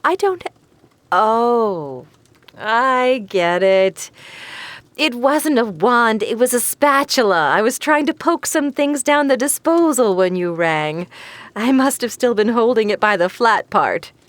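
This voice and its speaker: An actress playing an amused, kindly, devout neighbor woman in an audio drama, expressive and patient. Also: on the high side, slow pace, no room sound. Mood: patient, explaining.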